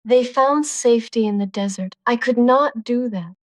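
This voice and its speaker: A woman performs a quick-witted, flirtatious duchess in a period audiobook. Her voice is on the high side and recorded close and dry. She speaks with playful, arch poise. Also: dry recording, highs cut off, lightly acted. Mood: playful, coy. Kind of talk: dramatised audiobook dialogue.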